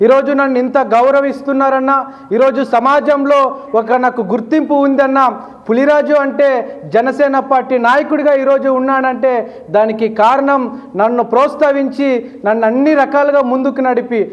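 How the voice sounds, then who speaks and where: A man's voice: extremely high pitch, level tone, moderate pace. A man, a local political leader giving a formal thank-you speech in repetitive, oratorical phrases. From Adoni region, Andhra Pradesh, India. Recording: dull, lo-fi sound.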